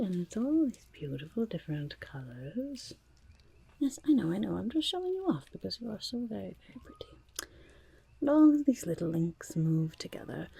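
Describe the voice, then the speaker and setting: Eccentric goblin merchant, played by a woman, whispered ASMR roleplay. Shifty, chatty, rambling; mellow, crackly undertone. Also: mid-range voice. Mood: tender, coaxing. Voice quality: breathy whisper with creaky murmurs. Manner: sly, rambling, muttering salesperson, refers to self by name.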